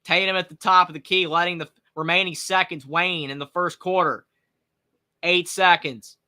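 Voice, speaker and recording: A twenty-year-old man from North Carolina with a Southern accent, calling a game live and reading viewer questions with excitement. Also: moderate pace. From North Carolina, USA. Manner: excited, rising pitch on big plays. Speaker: sports live-stream host. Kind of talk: live play-by-play reaction with viewer chat.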